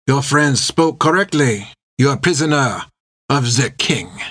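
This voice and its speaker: A wiry, gray-haired French sea captain from an adventure audiobook. He speaks slowly with a French accent, savoring his words with mock courtesy and cold menace. The sound is close and dry. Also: mid-range voice, animated, fairly bright tone, dry recording, voice pushed up. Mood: sinister relish. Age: older.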